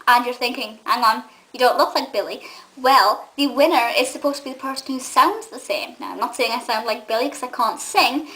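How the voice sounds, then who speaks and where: A young woman from England chatting casually to camera, enthusiastic and rambling, with a slightly gruff voice. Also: a little high, fairly quick, sharp tone, rough recording. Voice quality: says she has a gruff voice. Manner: enthusiastic and nostalgic, rambling with many ums. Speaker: vlogger and film reviewer.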